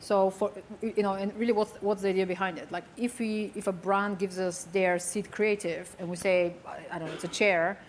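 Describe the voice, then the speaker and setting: A woman speaks on a business panel in a medium-pitched voice. She explains things conversationally, as a marketer would to colleagues. Faint event noise sits behind her. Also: steady tone, moderate pace, hardly any pauses.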